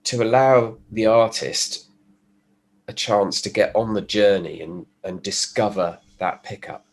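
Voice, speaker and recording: A man with a stuffy cold, explaining his work in a relaxed podcast chat with fillers and restarts. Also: on the low side, measured pace. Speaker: guest, pickup designer. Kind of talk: casual podcast conversation.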